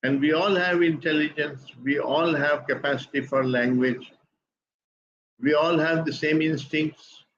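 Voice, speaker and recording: An older man from India with an Indian English accent, giving a calm, deliberate explanation as a guest speaker. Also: on the high side, highs cut off. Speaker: guest speaker on spiritual teachings. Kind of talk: measured explanatory talk.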